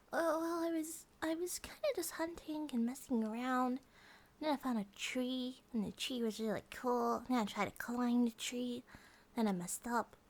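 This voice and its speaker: Woman acting out a high-voiced doting bear-girl wife. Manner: gentle, doting, hesitant rambling with soft giggles. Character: affectionate, playful young grizzly bear wife monster girl. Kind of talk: ASMR roleplay, intimate and partly whispered.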